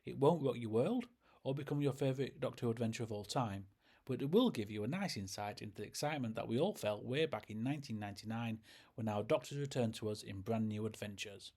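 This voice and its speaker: A man hosting a scripted review, speaking in a measured, explanatory way. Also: medium pitch, a little mellow. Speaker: host and reviewer. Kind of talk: scripted narration, audio drama review.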